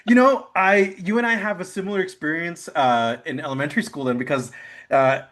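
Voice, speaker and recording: A man whose first language was Spanish hosts a relaxed interview. His voice runs fairly high, and he keeps cheering his guest on, thinking aloud with "uh" and "like". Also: a little fast. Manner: enthusiastic, affirming, many fillers. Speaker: podcast host. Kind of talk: casual interview chat.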